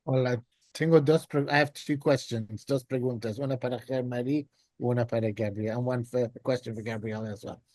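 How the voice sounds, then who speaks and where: A man with an English accent, a native English speaker, puts an informal question at an academic talk. His voice is dark and relaxed, recorded close and dry, and his phrasing is tentative. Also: mid-range voice, normal speed, dry recording, rough recording. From England. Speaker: audience questioner, media studies academic.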